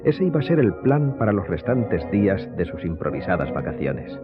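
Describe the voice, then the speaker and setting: Deep male narrator reading a classic adventure radio novel, measured delivery, kinda muffled with music behind. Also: sing-song. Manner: literary, measured storytelling. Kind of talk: dramatic scripted audiobook narration. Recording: muffled audio under prominent music.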